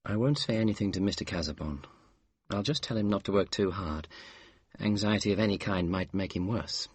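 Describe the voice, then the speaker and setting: A man voicing a calm, honest young doctor with a brisk, measured bedside manner. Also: on the low side, husky, no echo.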